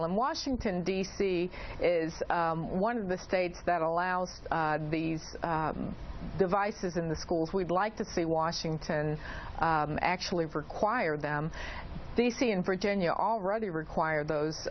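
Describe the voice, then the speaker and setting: A woman speaking as an expert guest in an interview, explaining in a hesitant way with frequent pauses. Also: deep voice, steady tone. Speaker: expert guest, principal investigator. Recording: dull lo-fi audio, clearly noisy.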